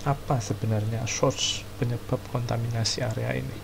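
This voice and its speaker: A man's voice: medium pitch, flat delivery, a little fast, gravelly, noisy background. A man with an Indonesian accent giving casual, slangy commentary on a game as he plays. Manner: slangy, informal. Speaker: gaming video host. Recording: loud game music behind voice. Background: loud game music and effects.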